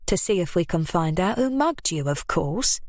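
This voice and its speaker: A woman voicing a cool, measured interrogator. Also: on the low side, dry recording, studio quality. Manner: even, measured, pointed. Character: calm, controlled woman, probing interrogator.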